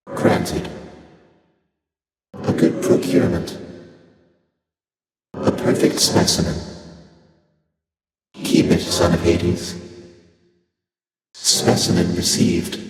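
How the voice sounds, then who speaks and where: An ancient primordial god from a video game, very low and slow. He is detached and cryptic, handing down formal pronouncements with faint amusement. The voice rings through a vast, long reverb. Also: darkish tone, heavily acted. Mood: formal, approving. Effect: heavy echoing, reverberant otherworldly processing. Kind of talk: video game character voice acting. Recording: cavernous echo and reverb, music behind. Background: music.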